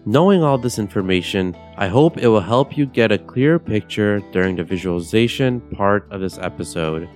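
A young man from Bulgaria hosting a podcast, guiding a meditation in a calm, measured, soothing way. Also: on the low side. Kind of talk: guided meditation narration. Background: soft guitar music.

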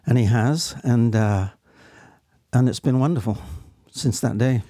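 An elderly man giving personal testimony as an interview guest, speaking in a halting, reflective and earnest way. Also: medium pitch, mellow, dry recording, clean studio sound. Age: 70s or older. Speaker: interview guest, stage actor.

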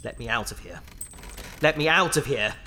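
An actor playing a disturbed, self-loathing man in a sci-fi audio drama, with a bitter, halting, desperate manner. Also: medium pitch, animated, background noise, natural voice. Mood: agitated. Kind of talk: science fiction audio drama acting.

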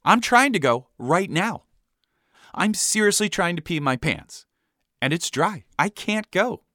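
An earnest, stubborn little boy from a comic family story, acted by a man. His voice sits a bit higher, calm but plaintive. The sound is dry and close. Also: measured pace, no room sound, heavily acted.

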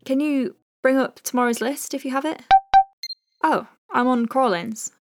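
A woman playing a caring, conscientious doctor in an audio drama, dictating clinical notes with gentle, chatty asides. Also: sits high, moderate pace, over loud music. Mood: weary. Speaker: lead character, physician.

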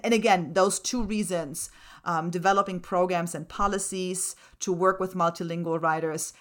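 A woman's voice: on the low side, fairly bright tone. A middle-aged woman from Germany, a native speaker of German and Slovak, explaining things in an articulate, earnest way as an interview guest. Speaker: interview guest, writing center director and associate professor.

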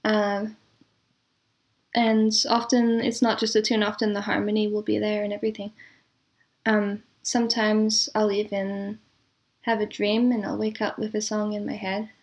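A woman answering as a composer guest in a casual, chatty interview, quick to laugh. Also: medium pitch, monotone, a little mellow.

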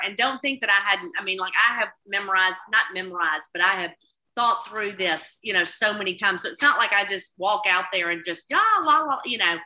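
A woman's voice: mid-range voice, pushed and bright, low-quality recording. A woman with a Southern US accent, a cookbook author chatting in an interview, rambling with many restarts. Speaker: cookbook author and TV food contributor. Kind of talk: casual interview chat.

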